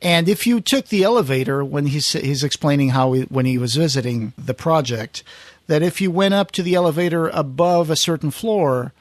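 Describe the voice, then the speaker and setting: Higher-voiced man chatting on a podcast. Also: moderate pace, dry recording. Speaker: podcast host. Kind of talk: casual podcast chat recounting film history.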